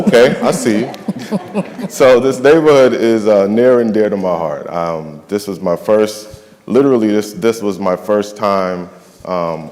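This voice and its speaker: Man, from the Bahamas, very low voice, hesitant, filler-heavy, panel talk, long hall reverb. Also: darkish tone. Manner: hesitant, many ums and restarts. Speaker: nonprofit finance and facilities staffer. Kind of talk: panel speaking at a community summit. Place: large event hall.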